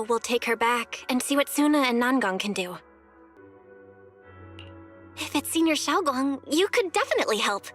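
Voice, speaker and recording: A woman voicing a friendly, earnest young female video store manager in an anime-style game, speaking expressively and conversationally. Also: high voice. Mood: earnest, encouraging. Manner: expressive, animated, conversational delivery. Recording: background music under the voice.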